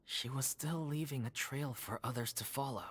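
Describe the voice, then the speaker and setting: A man playing a solemn, mournful troupe member in a video game, with a husky voice. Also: medium pitch, moderate pace, fairly bright tone, natural voice.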